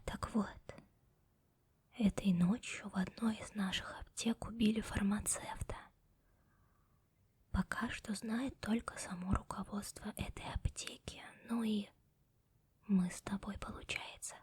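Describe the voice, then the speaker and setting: A young woman voicing a stern, sharp-tongued female office boss in an ASMR roleplay, whispering softly close to the mic. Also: medium pitch, fairly even intonation, unhurried, long pauses, breathy edge. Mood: hushed, secretive. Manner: scolding and sarcastic to staff.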